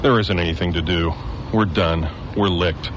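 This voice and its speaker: A grim, scheming survivor, voiced by a man speaks low and blunt, lecturing on how to live under invaders. His tone stays bitter and matter-of-fact. The radio play recording carries a clear hiss underneath. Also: fairly even intonation, moderate pace, highs cut off, natural voice. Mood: defeated. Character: hardened male survivor, former guardsman, grim and scheming. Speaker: stranger with a knife. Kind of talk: local radio drama.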